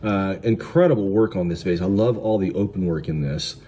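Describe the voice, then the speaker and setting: A man's voice: low voice, fairly quick, mellow. A middle-aged American man hosting as an auctioneer, giving casual commentary on the lots as he sells them. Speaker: auctioneer and vlog host. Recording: clear background noise.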